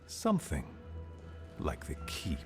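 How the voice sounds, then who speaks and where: An actor playing an aging, pragmatic dwarf leader in a fantasy game, speaking in a grave, measured, dryly blunt way. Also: male voice, music in the background, lightly acted. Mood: earnest, grave. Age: older. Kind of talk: fantasy video game dialogue.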